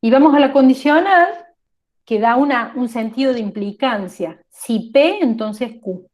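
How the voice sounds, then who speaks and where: A woman's voice: on the high side, lively intonation, measured pace, a little mellow. A woman with an Argentine accent lecturing a class, explaining step by step and often checking whether students follow. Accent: Argentine Spanish. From La Rioja, Argentina. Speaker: teacher.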